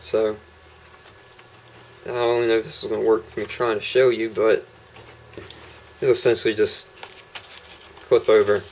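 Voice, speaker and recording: A young man talks through his handmade holster prototypes in a loose, rambling way, filling pauses with ums and uhs. His voice sits a little low, picked up by a camera mic on a tripod. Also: little pitch movement, darkish tone, a little hiss or hum, low-quality recording. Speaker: holster maker showing prototypes. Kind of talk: casual product demo vlog.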